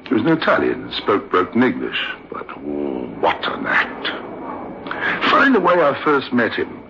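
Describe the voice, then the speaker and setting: An actor playing a middle-aged, garrulous veteran theatre manager in an old-time radio drama, chatty and emphatic. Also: male voice, fairly low pitch, huge pitch swings, moderate pace, creaky, exaggerated voice. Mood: nostalgic, admiring. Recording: dull, faintly noisy old radio recording.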